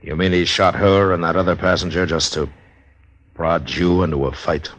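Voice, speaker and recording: An actor playing a grave, steady old-West marshal in a radio drama, speaking in a terse, understated way. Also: male voice, sits low, normal speed, no room sound. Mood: sober. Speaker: lead actor and narrator. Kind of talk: old-time radio drama narration and dialogue.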